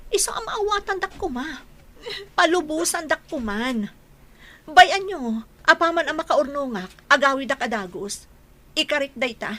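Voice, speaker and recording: Woman doing a determined mom in a radio drama, high voice, swings up and down, earnest and emphatic. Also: animated, moderate pace, pauses often, a bit bright. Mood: earnest, consoling. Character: determined, self-sacrificing wife and mother.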